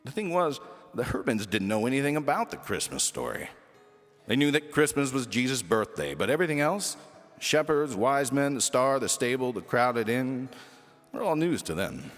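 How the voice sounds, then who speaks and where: A man reading a children's story aloud as lively scripted narration. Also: mid-range voice, moderate pace. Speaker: storybook reader. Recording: echoey hall reverb with music.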